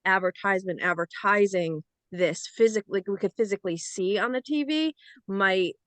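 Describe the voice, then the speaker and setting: A woman's voice: medium pitch, normal speed, fairly bright tone, dry recording. An American woman on a script reading panel, rambling casually and peppering her talk with "like". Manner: rambling, full of "like".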